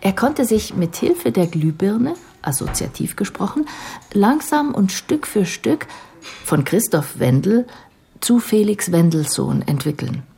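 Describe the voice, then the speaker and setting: An actress playing a composed female care professional in a radio drama, explaining a patient's case in a measured, analytical way. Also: medium pitch, expressive, vocal fry, dark tone, a little hiss or hum. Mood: calm, reflective.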